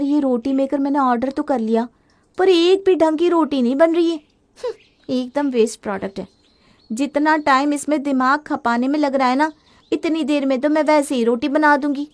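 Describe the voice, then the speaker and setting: Woman as young daughter-in-law, high-pitched, impatient, chatty, opinionated, complaining, family moral tale. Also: normal speed, close to an everyday voice. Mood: fed up. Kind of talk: acted Hindi family moral story.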